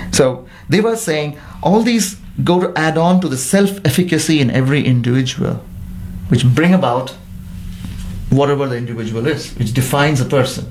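A man's voice: mid-range voice, a little mellow, a little hiss or hum, poor audio. Man explaining at length on a panel. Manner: explanatory, steers back to topic. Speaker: panel discussant. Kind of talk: discussion on a panel.

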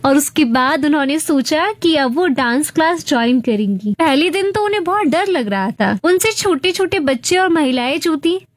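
A woman's voice: high-pitched, expressive, normal speed, few pauses, dry recording. A woman co-hosting an FM radio show, telling stories and reciting a short poem to listeners. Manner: warm storytelling, recites poetry. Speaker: radio co-host. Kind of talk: chatty FM radio show banter. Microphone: studio mic.

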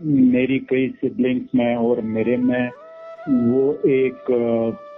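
A middle-aged man from Kashmir, a guest on a radio interview, speaking in a hesitant way with many pauses and English phrases mixed in. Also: mid-range voice, flat delivery. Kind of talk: casual interview chat. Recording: tinny phone-like radio sound, faint music.

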